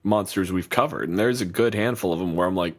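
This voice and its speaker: A man co-hosting a podcast in casual chat, talking in an animated, rambling way with restarts and swearing freely. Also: deep voice, quick pace. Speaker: podcast co-host.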